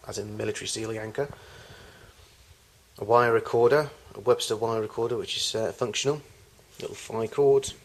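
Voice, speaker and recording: A man giving an unscripted tour of his collection in a home video, recorded in a garage on amateur camcorder audio. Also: lowish voice.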